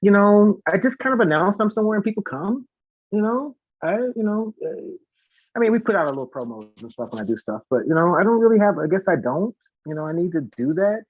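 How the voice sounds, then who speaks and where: A man who sings and teaches voice, talking as a guest in a casual live interview, telling stories in an animated way and acting out what people say. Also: sits high, fast talker, no echo, narrow, tinny audio. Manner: talkative, animated storytelling with acted-out quotes and demonstrations.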